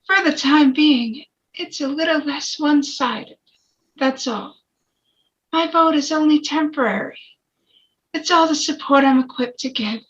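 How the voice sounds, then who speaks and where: A mild, thoughtful old man on a jury read by a woman, measured and hesitant, with a bright tone. The table read comes through a slightly dull, lo-fi mic. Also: high-pitched. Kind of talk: amateur screenplay table read. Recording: dull, lo-fi microphone.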